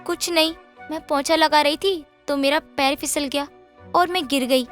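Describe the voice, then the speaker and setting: A woman's voice: sits high, fairly even intonation, moderate pace, fairly bright tone, soft background music. A woman voicing a meek, devoted young daughter-in-law in a moral story, speaking politely and deferentially. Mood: nervous, covering up. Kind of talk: Hindi moral story voice acting.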